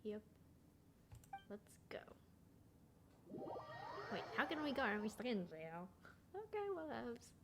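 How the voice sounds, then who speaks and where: A woman's voice: fairly high pitch, sing-song, a little slow, pauses often. A young woman giving informal, slangy commentary while she plays a video game. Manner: informal, slangy, muttering reactions. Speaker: gamer narrating gameplay. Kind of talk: casual let's play commentary. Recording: home gaming recording with noise. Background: game audio and ambience.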